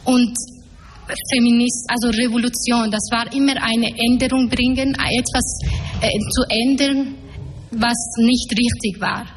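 A woman's voice: fairly high pitch, steady tone, measured pace. A woman in her twenties from Afghanistan, a panel guest and activist, speaking with many fillers and searching for words. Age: 20s. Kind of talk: public panel talk. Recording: echoey hall, faint noise.

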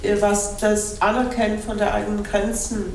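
A woman whose first language is Belarusian, speaking thoughtfully in a panel discussion and pausing often with fillers. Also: mid-range voice, little pitch movement, darkish tone, slight background noise. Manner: thoughtful, hesitant, many fillers. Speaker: translator and moderator. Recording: echoey room from far off.

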